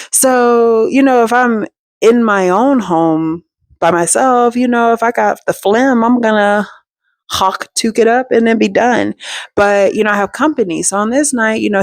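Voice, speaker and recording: A woman hosting her own storytime show, telling a personal story in a chatty, slangy and animated way, full of asides to her viewers. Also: mid-range voice, creaky, dry recording. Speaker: storytime host.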